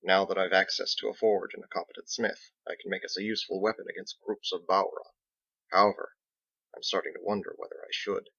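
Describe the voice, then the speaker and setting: A man voicing a measured, thoughtful seafaring captain in an audiobook, with formal, old-fashioned phrasing. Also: sits low, flat delivery, creaky, a bit bright, dull audio, exaggerated voice. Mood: thoughtful, wary. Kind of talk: audiobook character dialogue.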